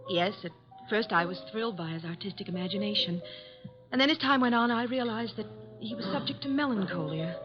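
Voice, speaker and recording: A woman's voice: medium pitch, a little fast, over music, close to an everyday voice. A woman playing a charming young actress consulting a detective in an old radio drama, polite, earnest and slightly hesitant. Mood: earnest, confiding. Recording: muffled old radio recording.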